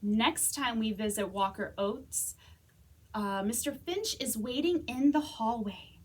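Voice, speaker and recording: A woman reading a children's story aloud as a storytime reader, expressively, with dramatic pauses and whispers. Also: fairly high pitch, a little mellow, breathy edge. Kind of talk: animated children's read-aloud.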